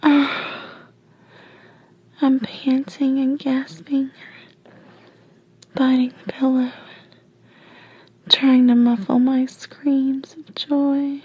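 A woman narrating intimate, sensual first-person erotic audio for adults in a hushed, breathy whisper. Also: high voice, level tone, dry recording, lo-fi sound. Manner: hushed, slow, sensual delivery.

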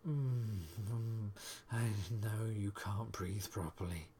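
A man performing an intimate audio roleplay in a soft, breathy voice that often drops to a whisper, with murmurs, sighs and reassurances. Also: fairly low pitch, slow pace, slight background noise.

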